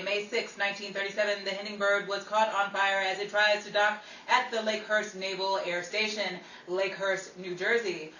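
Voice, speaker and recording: A woman's voice: medium pitch, fairly bright tone. A woman hosting and narrating a 1930s-style radio show with a theatrical, playful delivery, recorded roomy and distant. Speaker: host and narrator of a radio play. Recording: roomy, distant mic, noisy crowd.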